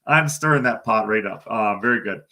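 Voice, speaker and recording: A hoarse man giving a casual live-streamed weather briefing, thinking aloud as he talks through forecast maps. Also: lowish voice, fairly quick, bright, forceful tone. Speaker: TV meteorologist.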